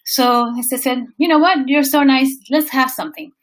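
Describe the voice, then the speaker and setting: Woman from venezuela, casual livestream storytelling, fairly high voice, animated. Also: normal speed. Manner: animated, restarts phrases, briefly sings place names. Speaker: vlogger and coach.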